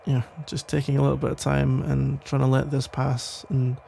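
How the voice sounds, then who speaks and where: Man acting a gravelly, tender protective cop ex-boyfriend. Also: mid-range voice, monotone, warm tone, a little hiss or hum. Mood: reassuring, careful. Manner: hesitant, reassuring, halting phrases with pauses. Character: protective, tender male police officer ex-boyfriend, relieved and smitten. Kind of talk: intimate ASMR roleplay, soft conversational acting.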